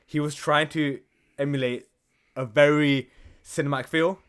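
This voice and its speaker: A man's voice: fairly high pitch, steady tone, normal speed, smooth. A man hosting a casual gaming podcast, talking emphatically with some swearing and frequent checking questions. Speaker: podcast host.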